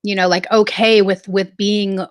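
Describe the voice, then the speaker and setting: Mid-pitch woman musing slowly, hesitant, lots of ums, dry room. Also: level tone, dry recording. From Southern California. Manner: reflective, halting, many fillers. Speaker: guest artist. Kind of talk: casual interview storytelling.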